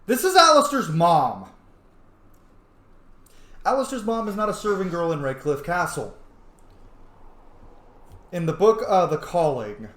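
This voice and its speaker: A man's voice: high-pitched, very animated, unhurried, pauses often, fairly bright tone, roomy sound. A man giving casual game commentary, musing aloud and talking back to the characters as he plays. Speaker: streamer playing a role-playing game.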